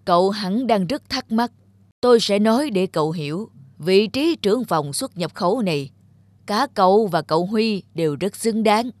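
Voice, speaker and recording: A woman voicing a shrewd, calculating male factory director in a cartoon, speaking with measured, insinuating authority. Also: medium pitch, lively intonation, no room sound, lightly acted. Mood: firm, serious. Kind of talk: cartoon voice acting.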